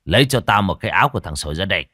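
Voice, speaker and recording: Man doing a quick-tempered old shaman for a spoken tale: loud, raised voice, dry close mic. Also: sits low, lively intonation, no echo, full character voice. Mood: bossy. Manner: barking orders, loud and gruff. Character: bossy older male village shaman, quick-tempered.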